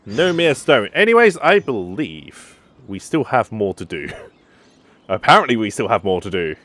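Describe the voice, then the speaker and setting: A man's voice: fairly low pitch, sing-song, unhurried, a bit bright. A man casually commenting on a game as a gaming YouTuber, chatty and reactive, over faint game music. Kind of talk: casual let's-play commentary.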